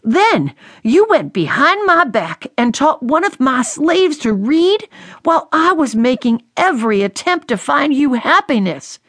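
A woman voicing an angry aging male sea captain confronting his daughter, shouting and accusing. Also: high voice, sing-song, a little slow, dry recording, studio quality, voice pushed up, exaggerated voice. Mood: furious.

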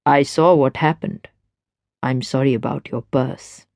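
A woman voicing a courteous man with a soft, honeyed tone and an unhurried, polite delivery for an audiobook novel. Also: very deep voice, steady tone, vocal fry, dry recording, pitched below the performer's own voice, full character voice. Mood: calm, knowing.

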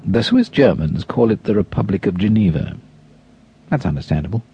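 Very husky, theatrical male narrator. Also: lowish voice, animated, muffled recording. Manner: theatrical, savouring words with long pauses. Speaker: audiobook narrator. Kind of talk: dramatic first-person scripted narration.

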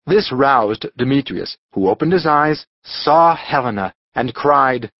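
Animated, clear male storyteller. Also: mid-range voice, unhurried, no room sound, muffled recording. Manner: dramatic, expressive. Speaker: audiobook narrator. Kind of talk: scripted storybook narration.

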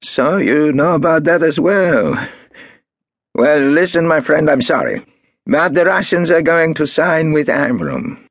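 A man voicing a desperate, scheming male businessman with a shrill, wheedling voice. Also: huge pitch swings, a little slow, vocal fry, husky, no room sound, studio quality, heavily acted. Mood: shrill angry.

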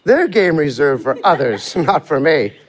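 A man's voice: fairly high pitch, sing-song, unhurried. A man playing a worldly, wily, talkative manservant in a theatrical verse comedy, with a sly, flourishing delivery in rhyme. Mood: sly, eager. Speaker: actor.

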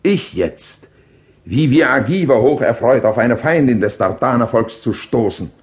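A man performing a proud Greek king in a classical radio play. He declaims verse in a mid-pitched voice, shaping each phrase deliberately and rhetorically as he reports on war. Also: lively intonation, close to an everyday voice. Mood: impassioned, rhetorical.

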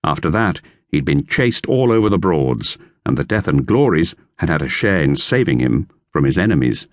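A man narrating a children's classic in a lively storytelling manner. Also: a bass voice, moderate pace, dry recording, clean studio sound. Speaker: audiobook narrator. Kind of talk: scripted narration. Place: recording studio.

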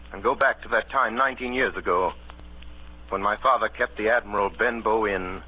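An actor playing a grown man who solemnly recounts his boyhood adventure as narrator of an old-fashioned radio drama, measured and literary. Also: fairly low pitch, slightly rough. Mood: grave, reflective. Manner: measured, grave, literary storytelling. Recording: tinny old radio broadcast, music behind.

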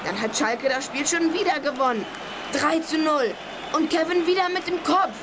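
Young boy football fan played by an actor, high eager voice, exclamatory, children's audio drama, loud noise behind. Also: normal speed, natural voice. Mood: excited.

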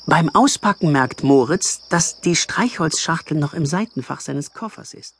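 A man narrating a scripted children's story with lively storytelling delivery. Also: on the high side, normal speed, background noise. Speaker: audiobook narrator.

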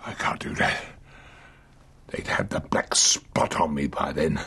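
An actor playing a sick, drunken old sea captain in a radio drama, muttering slow sailor talk in a raspy, gravelly voice, gruff and wheedling. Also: male voice, very deep voice, sharp tone, in a whisper, exaggerated voice. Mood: anxious, conspiratorial.

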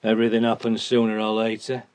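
An actor playing a cynical old donkey in a radio drama, weary and deadpan. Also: male voice, fairly low pitch, fast, natural voice. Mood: weary, fatalistic. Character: old male donkey, cynical, gloomy, stoical.

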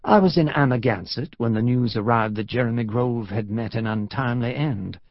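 A man voicing a cultured, wry gentleman investigator with a smooth Southern drawl in an audiobook. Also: mid-range voice, normal speed, dry recording, lo-fi sound, natural voice. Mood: wry, suave. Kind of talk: audiobook character voice.

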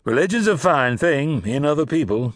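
A man's voice: a little high, expressive, moderate pace, no echo, studio quality, pitched above the performer's own voice. A male narrator voicing a suave, talkative middle-aged traveling salesman. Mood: genial. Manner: smooth, chatty.

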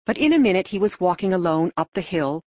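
A woman narrates a children's novel in a low voice that moves around expressively in pitch. It is a scripted audiobook reading, close and dry, with slightly dull, lo-fi sound. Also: animated, fast talker, dry recording.

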